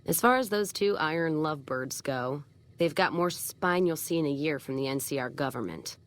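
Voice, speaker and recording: An actress playing a tough, foul-mouthed, cynical caravan boss in a video game, blunt and sardonic with folksy frontier phrasing. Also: female voice, low voice, lively intonation, moderate pace. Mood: bitter, sardonic. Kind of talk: video game character dialogue.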